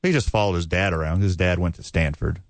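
A man's voice: deep voice, quick pace, no room sound. A man from Texas co-hosting a casual sports podcast, trading dry, teasing banter. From Texas, USA. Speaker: podcast co-host.

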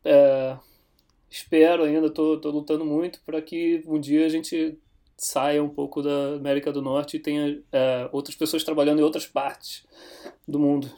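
A Brazilian man speaking with a slightly higher voice than most men. He chats casually as a podcast guest, unhurried and thoughtful, and often pauses to restart his sentences. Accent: Brazilian Portuguese. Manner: relaxed, thoughtful, with frequent fillers and restarts. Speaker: podcast guest, software developer. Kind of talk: casual chat.

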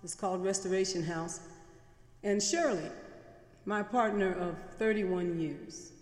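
A woman's voice: mid-range voice, unhurried, darkish tone. Middle-aged woman preaching in echoing church. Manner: conversational storytelling, building emphatic repetition. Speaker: pastor, guest preacher. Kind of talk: sermon. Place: large church. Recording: reverberant church hall.

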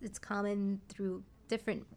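A woman's voice: mid-range voice, flat delivery, moderate pace, creaky, a little mellow. A woman speaking as a guest massage therapist in a casual talk show interview, explaining anatomy in plain, informal words.

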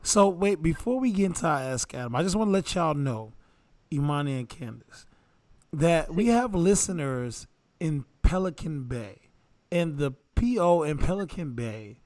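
A man co-hosting a podcast, with a slightly raised pitch. He talks casually, jumps in often and clowns around. The room is dry and close to the mic. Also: lively intonation, moderate pace, a little mellow, no room sound. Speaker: podcast co-host. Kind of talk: casual chat.

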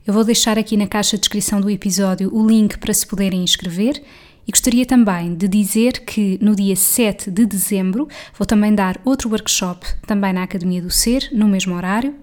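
A woman with a European Portuguese accent hosting a podcast, giving a measured, friendly introduction and then interviewing a friend. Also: mid-range voice, a little mellow. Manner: measured, friendly announcing, brisk in chat. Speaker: podcast host.

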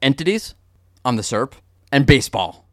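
A man's voice: mid-range voice, sing-song, moderate pace, fairly bright tone. A man hosting a podcast in a casual, joking way, explaining things with lots of fillers and restarts. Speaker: podcast host.

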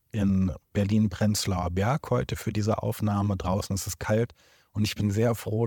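A man with a lowish voice hosting a podcast interview in a room indoors. He talks in a measured, formal manner, welcoming and introducing his guest. The recording is dry. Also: darkish tone, no echo. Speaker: podcast host.

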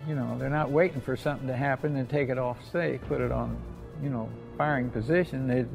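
Old american vet telling his memories, creaky gravelly voice, folksy, music behind him. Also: mid-range voice, fairly even intonation, fairly quick. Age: 80s or older. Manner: plainspoken, folksy, repeats himself. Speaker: war veteran. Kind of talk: oral history reminiscence.

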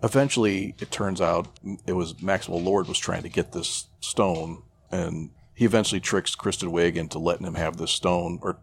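A man's voice: deep voice, fairly even intonation, moderate pace, creaky, few pauses, slight background noise. A man chatting casually as a podcast co-host, retelling a story in a profane, irreverent, rambling way. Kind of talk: casual chat, retelling a film plot.

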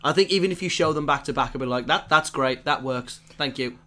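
A hoarse man with a cold, talking at a middling pitch as he hosts a relaxed film podcast. He chats loosely, backtracks and corrects himself mid-sentence, then tosses in quick asides. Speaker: podcast host. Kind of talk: casual podcast chat.

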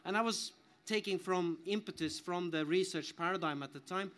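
A man's voice: sits high, normal speed, a bit bright, slight background noise. A man speaking off the cuff as an academic guest in a discussion, often restarting his phrases. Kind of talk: spontaneous panel explanation.

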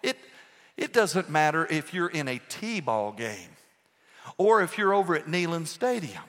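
A man's voice: on the high side, a little slow. Man, pastor, sermon, emphatic, swooping delivery, long hall echo. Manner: animated, emphatic preaching with rhetorical questions. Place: church sanctuary. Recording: long reverb in a church hall.

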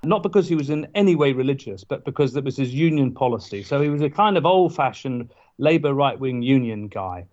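A man, a guest in a casual interview, tells anecdotes with halting restarts and wry asides. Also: mid-range voice, lively intonation, darkish tone. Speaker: guest, former parliamentary candidate. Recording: dull lo-fi sound.

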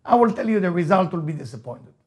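A man's voice: sits high, expressive, fast talker. A middle-aged man from Israel with an Israeli accent, a native Hebrew speaker, giving an emphatic, repetitive motivational talk.

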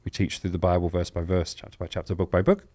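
Low-voiced man hosting a live show. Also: fairly even intonation, fast, warm tone. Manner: welcoming, informal, explanatory. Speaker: host of a live Bible question show. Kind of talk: chatty live broadcast hosting, announcements.

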